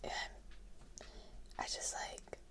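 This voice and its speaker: Slow, whispering woman. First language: Finnish. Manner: soft whispering, chatty and casual, answers chat; bouncing while sitting on an exercise ball. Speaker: ASMR streamer. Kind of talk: whispered live-stream chat.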